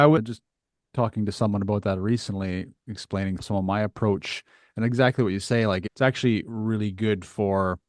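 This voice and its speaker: A man's voice: on the low side, dry recording, studio quality. A man hosting a podcast, interviewing in a casual, conversational way.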